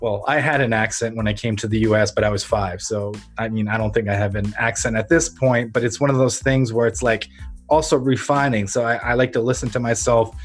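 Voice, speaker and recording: An American man hosts a podcast conversation in a mid-pitched voice. He speaks in an earnest, reflective, motivational way. Faint music plays underneath him. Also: steady tone, brisk. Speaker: podcast host.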